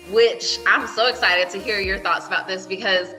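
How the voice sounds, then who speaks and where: A woman from Brooklyn co-hosting a casual chat podcast from home, talking in a reflective, measured way. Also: medium pitch, fairly even intonation, bright, forceful tone, soft background music, poor audio. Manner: reflective, measured, uses "you know" often. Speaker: podcast co-host.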